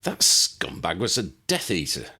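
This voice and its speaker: A man acts out a gruff young wizard in an audiobook. He is blunt and argumentative, with a widely varying pitch. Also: wildly swooping pitch, unhurried, bright, forceful tone, heavily acted. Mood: blunt, grumbling. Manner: blunt, growling.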